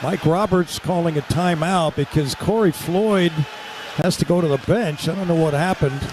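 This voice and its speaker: A man calling live radio basketball play-by-play in clipped, excitable running descriptions. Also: a little high, normal speed. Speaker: play-by-play announcer. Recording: courtside with loud crowd and gym noise. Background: crowd and arena ambience.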